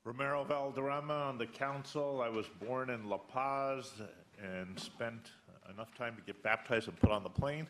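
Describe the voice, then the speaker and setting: A council member introducing himself at a meeting with wry, dry humor. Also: male voice, mid-range voice, moderate pace, a bit bright, slight background noise.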